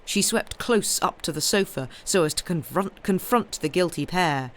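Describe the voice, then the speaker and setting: A woman reading literary fiction aloud as an expressive audiobook narrator. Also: lowish voice, moderate pace. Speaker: audiobook reader.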